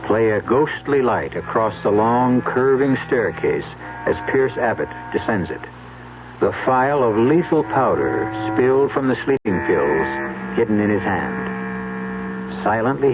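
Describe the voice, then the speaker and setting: Suspenseful male radio narrator over loud music. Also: medium pitch. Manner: measured, literary, suspenseful. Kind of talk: atmospheric scripted narration, old-time radio. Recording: dull old radio recording, loud music.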